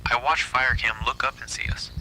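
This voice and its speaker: A man narrating a scripted first-person horror story in a hushed, breathy, suspenseful delivery. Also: medium pitch, level tone, moderate pace, a little hiss or hum, low-quality recording.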